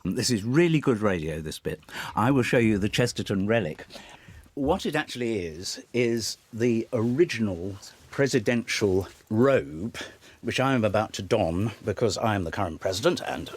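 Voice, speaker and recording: Low-voiced, droll man in interview. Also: animated, moderate pace, slightly rough, no echo. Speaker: crime writer, club president. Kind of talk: interview chat.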